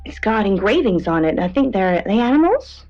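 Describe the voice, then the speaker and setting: An actress playing an earnest female late-night radio co-host and dream interpreter, speaking in a measured, tentative way. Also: mid-range voice, sing-song, darkish tone, dull audio. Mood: tentative, puzzled. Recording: band-limited radio sound over music.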